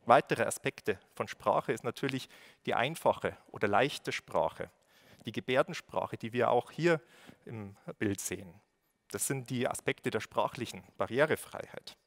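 A man in his forties with a Bavarian accent delivers a formal welcome address from a lectern. His pitch sits in the middle. He reads at a measured pace, with the occasional hesitation. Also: lively intonation. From Lower Bavaria. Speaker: city education official giving a welcome speech. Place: stage at a lectern, indoors. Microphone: lectern microphone.

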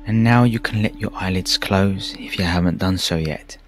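A man narrating a guided meditation in a calm, measured, soothing way with pauses. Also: low-pitched. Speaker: meditation teacher. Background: background music.

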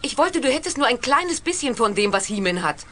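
Casting: reproachful young heroine, cassette radio play; high, swooping, husky-edged, urgent. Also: female voice, big pitch swings, brisk, sharp tone, heavily acted. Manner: urgent, emphatic, dramatic. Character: agitated, reproachful young heroine of a fantasy adventure. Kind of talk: 1980s German audio drama acting.

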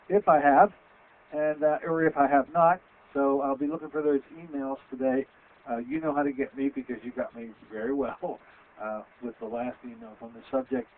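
Older male radio doctor-host, Western PA accent, loud and chatty, headset mic, thin phone-like audio. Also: medium pitch, a little hiss or hum. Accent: Western Pennsylvania. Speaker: radio show host, physician. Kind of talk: casual radio talk. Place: small office with framed certificates.

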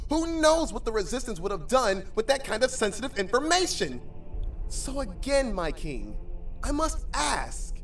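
A man's voice: very high-pitched, a bit bright, recorded from a distance, full character voice. A man playing a king's military lieutenant, formal and deferential, in a theatrical audio drama.

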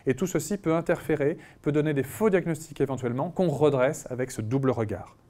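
A man, a physician, giving a conference lecture over slides, speaking in a measured way with frequent pauses and restarts. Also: on the high side, warm tone. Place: conference room.

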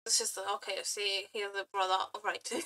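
A woman streamer giving casual live reactions, excitable, scattered and exclamatory, with some swearing. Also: medium pitch, moderate pace, rough recording. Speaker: streamer reacting to game trailers. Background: background music.

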